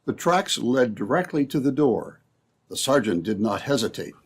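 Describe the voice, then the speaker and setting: A man's voice: medium pitch, very animated, normal speed, slightly rough. A man narrating an old-time radio adventure from a script in storytelling style.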